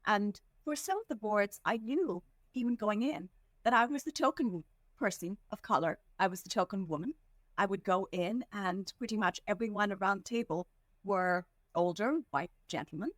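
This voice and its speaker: A woman from India speaks as a podcast guest in an earnest, measured way, slowing on the words she wants to land. Her voice sits at a middle pitch. The recording is dry and close, with no room sound. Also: dry recording. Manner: measured, earnest, slows down to stress points. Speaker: podcast guest, fundraising executive. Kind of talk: reflective interview answers.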